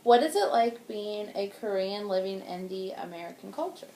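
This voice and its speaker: A woman's voice: mid-range voice, expressive, moderate pace, warm tone, reverberant, a little hiss or hum, rough recording. A woman interviewing a guest, asking short questions and follow-ups. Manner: brief prompts and follow-up questions.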